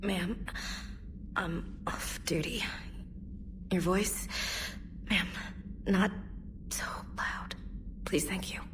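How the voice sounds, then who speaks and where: A woman playing a hungover soldier in a video game, groggy and mumbling, halting as she pleads for quiet. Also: on the low side, fairly even intonation, whispered. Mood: groggy, miserable.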